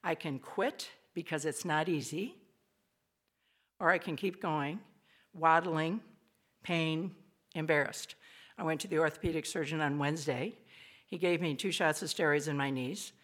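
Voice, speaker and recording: An older woman speaks to an audience with a raspy, somewhat low voice. Her delivery is chatty and lively, full of quick asides that poke fun at herself. She sounds like she is in a small old hall. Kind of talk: spoken devotional talk to an audience.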